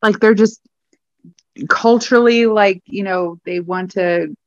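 A woman's voice: medium pitch, slow pace, dry recording. Emphatic woman on a dull dry mic. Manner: animated, emphatic, joking. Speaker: podcast co-host. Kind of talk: casual chat.

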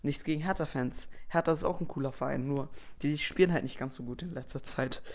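A man's voice: fairly high pitch, fairly quick. A young teenage boy from Berlin hosting his own podcast, casually reading out and answering listener questions with lots of fillers and slang. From Berlin, Germany. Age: young teen boy, entering eighth grade. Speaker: podcast host.

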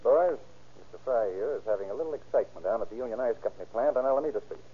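A man playing a calm, authoritative police chief in 1930s radio drama, brisk and protective. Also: mid-range voice, husky. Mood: commanding, brisk. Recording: tinny muffled 1930s radio recording.

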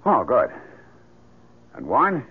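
An actor playing an eager, moody painter husband in an old-time radio drama, with theatrical, exclamatory delivery. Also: male voice, mid-range voice, huge pitch swings, slow, slightly rough, exaggerated voice. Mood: cheerful, hungry. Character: moody painter husband, elated and newly freed. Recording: noisy, tinny old radio broadcast recording.

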